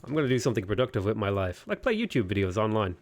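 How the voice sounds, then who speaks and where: A man's voice: on the low side, very animated, very fast. A man in his twenties on a game livestream, casually chatting and joking while he reads viewer comments aloud. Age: 20s. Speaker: streamer.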